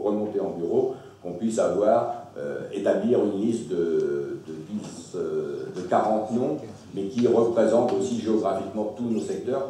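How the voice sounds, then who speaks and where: A man's voice: fairly low pitch, warm tone. A man, a local councillor giving a semi-improvised report at a council meeting, speaking hesitantly and correcting himself. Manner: hesitant, halting, self-correcting. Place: large echoey meeting hall. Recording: echoey hall, distant mic, faint noise.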